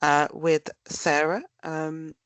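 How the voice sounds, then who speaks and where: A woman's voice: sits low, little pitch movement, slow, clean tone, no echo. A woman with a nasal voice, bunged up with a cold, giving a prepared webinar presentation. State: blocked with a cold. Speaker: research director presenting.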